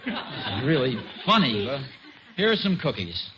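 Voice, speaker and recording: A man's voice: mid-range voice, big pitch swings, normal speed, lightly acted. An actor playing a mild, playful, boyish suburban father, with hesitant banter in a 1940s radio comedy. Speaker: sitcom lead actor. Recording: dull, noisy 1940s radio recording.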